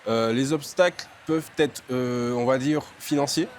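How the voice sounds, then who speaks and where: A man's voice: medium pitch, normal speed, slight background noise. A young man from Martinique, an entrepreneur, answering interview questions with many fillers and restarts.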